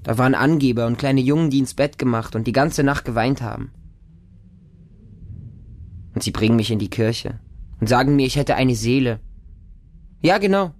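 A man's voice: medium pitch, moderate pace, long pauses, a little mellow, natural voice. An actor playing a distrustful feral orphan boy raised by dogs, narrating a radio drama in short, insistent, repetitive childlike sentences. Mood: brooding, wondering. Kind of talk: radio drama monologue, first-person narration.

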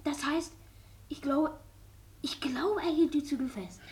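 A woman's voice: high voice, unhurried, a little mellow. A woman playing a shy, downcast, evasive young boy in a German radio play, speaking in a subdued, hesitant way. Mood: evasive, uneasy.

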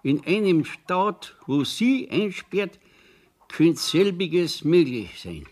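An actor playing a simple, earnest Bavarian countryman in a radio play, speaking haltingly as he composes a letter, with a Bavarian accent. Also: a little high, very animated, a little mellow, full character voice. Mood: devoted, concerned. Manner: slow, halting dictation to himself as he writes. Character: simple, devoted rural Bavarian man laboriously writing a letter. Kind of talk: radio play acting in dialect.

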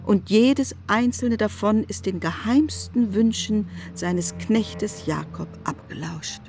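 A woman reads scripted narration for a literary radio drama. Her voice sits at a middle pitch. Faint music plays behind her. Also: slow pace, gravelly, darkish tone.

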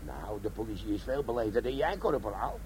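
An actor playing a self-important, grumbling bomb disposal sergeant with a crooked streak in a comic radio play. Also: male voice, lowish voice, sing-song, fairly quick, darkish tone, slight background noise, rough recording. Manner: blustering, self-important, complaining. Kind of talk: comic radio drama acting.

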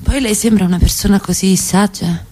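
An actress playing a coy middle-class wife given to flirtatious games in a radio play. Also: female voice, mid-range voice, fairly even intonation, brisk, mellow, natural voice. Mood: seductive.

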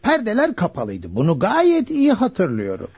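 An eager, deferential butler in a radio drama. His high voice swoops wildly up and down, fussily polite, on a dull, muffled old recording. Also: huge pitch swings, moderate pace, darkish tone, breathy edge, full character voice. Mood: eager explaining. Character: excitable, deferential male household butler. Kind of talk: radio play acting.

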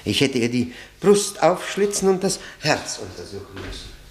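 An actor playing a tormented, bitter man confessing his ruined marriage in a brooding, halting monologue, in the style of 1960 radio drama. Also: mid-range voice, very animated, noisy background, lightly acted.

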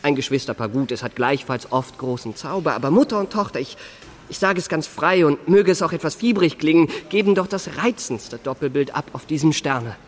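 Actor as florid young charming impostor. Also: male voice, fairly high pitch, fairly quick, close to an everyday voice. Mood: effusive, courtly. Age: young adult. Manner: florid, courtly, elaborate phrasing. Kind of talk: literary radio drama acting and narration.

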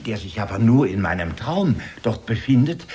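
An actor playing a humble, nervous, long-winded poor Russian merchant in a children's radio play. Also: male voice, on the low side, sing-song, mellow. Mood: nervous, eager. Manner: long-winded, stammering when hurried.

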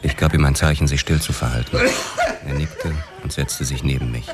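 An actor narrating as a world-weary detective in a radio play, dry and matter-of-fact. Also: male voice, a bass voice, steady tone, brisk, very noisy. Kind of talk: first-person noir narration.

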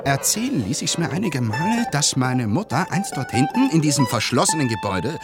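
An actor playing a jolly, boastful but cowardly bird catcher in a theatrical radio play, with comic, hesitant bluster. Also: male voice, medium pitch, very animated, brisk. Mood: chatty. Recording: music behind the voice.

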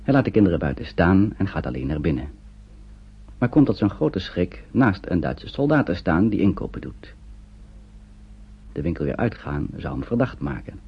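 A man's voice: deep voice, sing-song, normal speed, slightly rough. A man narrating a radio play from a script as its storyteller. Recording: dull noisy old radio recording.

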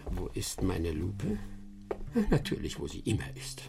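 An actor playing a self-assured, boastful English master detective in a German radio play, pompous, brisk and commanding. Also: male voice, sits low, very animated, gravelly, loud music behind the voice, heavily acted. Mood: polite, musing.

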